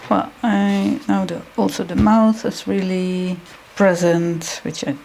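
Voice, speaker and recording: Slow, gravelly Dutch-accented woman. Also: mid-range voice, level tone, darkish tone, slight background noise. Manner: halting, searching for words, muttering to herself. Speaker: artist live-streaming while drawing. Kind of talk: thinking aloud while drawing.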